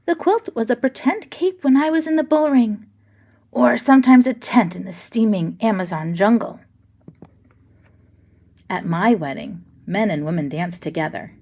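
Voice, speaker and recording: A woman reading a children's picture book aloud with expressive, deliberate phrasing. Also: fairly high pitch. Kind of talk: storybook read-aloud.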